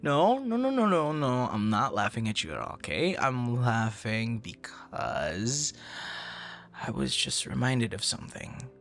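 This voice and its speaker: Male actor as friendly flustered young man, very animated pitch, creaky voice, hesitant rambling, intimate ASMR roleplay. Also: unhurried. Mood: amused, sheepish. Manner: hesitant, rambling, conversational, with soft breathy asides.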